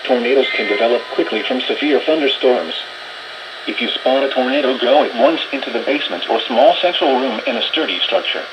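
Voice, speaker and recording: A synthesized man's voice reading scripted emergency weather warnings as an automated announcer, in a flat, measured delivery over weather radio. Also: mid-range voice. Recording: muffled, noisy weather radio broadcast.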